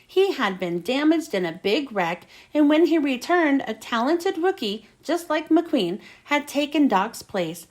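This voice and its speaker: A woman reads a children's story aloud. Her voice is high and swings up and down a lot, with dramatic emphasis on the storytelling. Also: very animated, a little slow, hardly any pauses, darkish tone. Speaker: children's book reader.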